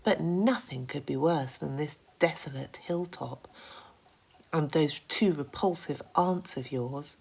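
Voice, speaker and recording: Kindly female ladybird for a children's tale, voiced by a woman reader: patient, reassuring, measured pace. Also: low-pitched, gravelly, a little mellow, slightly rough, pitched below the performer's own voice, heavily acted. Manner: patient, explanatory.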